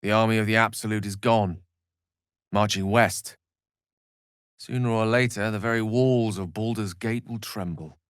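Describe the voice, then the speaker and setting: An actor playing an erudite, wry and courteous wizard in a video game, with eloquent, precise, theatrical phrasing. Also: male voice, fairly low pitch, fairly even intonation, moderate pace, clean tone, no room sound, studio quality, natural voice. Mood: grave. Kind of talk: video game character acting.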